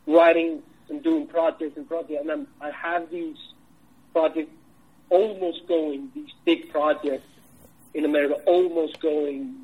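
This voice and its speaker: A man from Iceland with an Icelandic accent, a film director answering interview questions in a halting way, full of restarts. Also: fairly high pitch, husky, low-quality recording. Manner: halting, many restarts and fillers.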